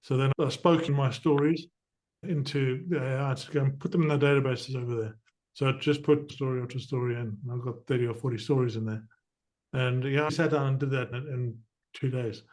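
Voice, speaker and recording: A middle-aged podcast host from southern Africa with a mid-range voice. He talks with energy, explains points step by step and adds quick asides as he goes. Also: mid-range voice, steady tone, darkish tone. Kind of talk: conversational interview.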